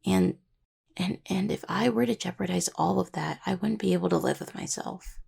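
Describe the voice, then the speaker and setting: A woman playing an affectionate, playfully stubborn young necromancer healer in an intimate roleplay audio, with a husky voice and a halting, casual, teasing way of talking. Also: on the low side, a little mellow, exaggerated voice. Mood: worried, hesitant. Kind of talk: intimate roleplay audio, one-sided dialogue to listener.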